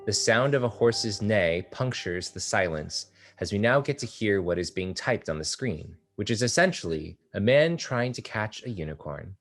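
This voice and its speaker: Low-voiced man reading stage directions. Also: moderate pace, hardly any pauses. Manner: steady, descriptive reading. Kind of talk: table read of a stage play.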